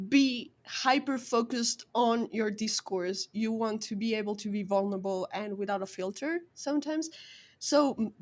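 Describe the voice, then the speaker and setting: Higher-pitched woman. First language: Spanish. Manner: thoughtful, explanatory, academic. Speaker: podcast co-host. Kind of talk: casual podcast chat.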